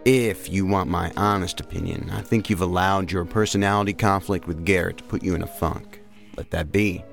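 An actor playing a level-headed, plain-spoken federal agent, direct and matter-of-fact, in an audio drama. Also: male voice, low-pitched, steady tone, moderate pace, creaky, soft background music. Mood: firm, reassuring.

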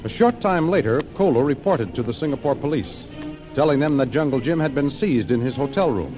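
A man's voice: mid-range voice, lively intonation, fairly quick, music in the background, poor audio. An American man narrating an adventure serial as announcer, in dramatic scripted 1930s style on an old radio recording.

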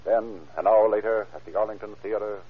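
A man's voice: lowish voice, steady tone, fairly quick, background noise. A man delivering dramatic, declamatory scripted narration in the formal style of 1930s radio crime shows. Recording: tinny old radio recording, music behind.

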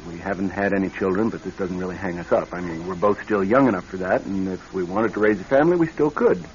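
An actor in a radio drama playing a nervous young married executive troubled by bad dreams, speaking in a halting, earnest way. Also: male voice, deep voice, slight background noise, lightly acted. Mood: self-assured. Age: young adult. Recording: dull band-limited old radio recording.

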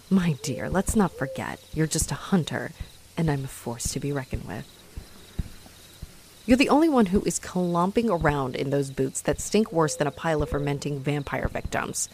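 Snarky, animated vampire woman, played by an actress. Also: low voice, slight background noise. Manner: mocking, sarcastic, theatrical, swearing. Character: snarky, haughty female vampire, flustered by her hunter rival. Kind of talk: roleplay audio drama addressed to the listener.

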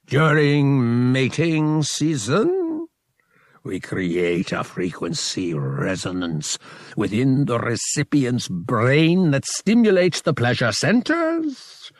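A man voicing a pompous, self-satisfied male alien high priest in an audiobook, explaining things slowly. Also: huge pitch swings, no echo, clean studio sound. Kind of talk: audiobook character dialogue.

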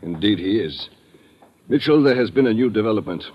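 An actor playing a stern, formal military colonel in a 1950s radio drama, speaking with authority. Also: male voice, fairly low pitch, animated, brisk, natural voice. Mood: formal, urgent. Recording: noisy, muffled old radio recording.

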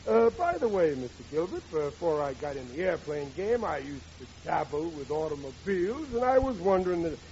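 Hapless blustery american working guy dad, swoopy high voice, old muffled radio. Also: big pitch swings, fairly quick, hardly any pauses, darkish tone, slight background noise. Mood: boastful. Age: middle-aged. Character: blundering, excitable working-class American father, pleading and guilty. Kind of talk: 1940s radio comedy acting.

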